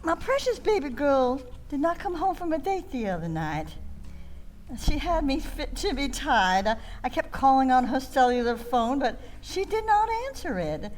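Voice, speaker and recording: A woman's voice: sits high, very animated, normal speed. A woman from North Carolina with a Southern accent, telling a comic story in a folksy, dramatic way.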